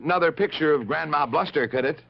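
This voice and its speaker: A man's voice: on the high side, fast talker, vocal fry, muffled recording. A man in his thirties hosting a children's puppet show, bantering in an emphatic, insistent, theatrical way. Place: television studio puppet set.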